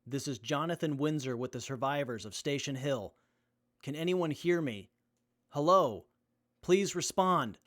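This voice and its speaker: A man acting as an earnest, capable young survivor with a measured, methodical manner in a post-apocalyptic audio drama. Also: a little high, a bit bright. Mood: hailing.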